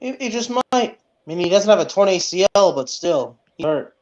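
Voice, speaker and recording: A man co-hosting a sports podcast in casual chat, speaking haltingly and repeating words as he goes. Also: high-pitched, lively intonation. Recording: dull, lo-fi sound.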